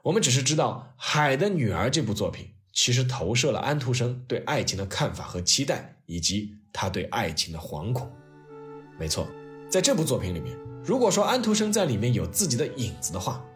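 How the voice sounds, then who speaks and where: A man tells history stories as a podcast host in a scripted, reflective style. His mid-range voice rises and falls dramatically as he moves through the narration. Music plays softly behind him. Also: medium pitch, sing-song, a little fast. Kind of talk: scripted narration with piano-backed storytelling and personal reflection. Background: soft piano and orchestral music.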